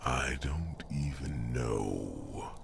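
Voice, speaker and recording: A man playing an ancient, tender, wise dragon in an ASMR fantasy roleplay, murmuring slowly in a gravelly half-whisper. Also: a bass voice, background noise, heavily acted. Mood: tender, teasing. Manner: slow, pausing, murmuring. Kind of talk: ASMR fantasy roleplay, slow intimate monologue.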